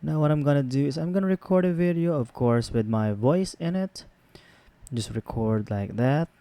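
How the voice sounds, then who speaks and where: A man's voice: fairly high pitch, expressive, moderate pace, warm tone, no room sound. A man hosting a tutorial, giving casual step-by-step instructions as a close, dry voice-over. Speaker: tutorial host.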